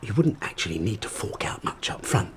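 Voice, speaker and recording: Desperate uncle, middle-aged, forced heartiness, lively swooping intonation, audio drama, audible noise. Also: male voice, lowish voice, a little fast. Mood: insinuating. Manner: wheedling, blustering, forced joviality. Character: desperate, falsely jovial middle-aged uncle and family man.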